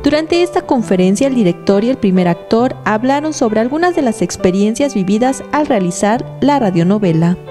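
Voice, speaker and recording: A woman's voice: fairly high pitch, animated, fairly quick, few pauses. A woman with a Mexican accent reading scripted news narration. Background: faint music.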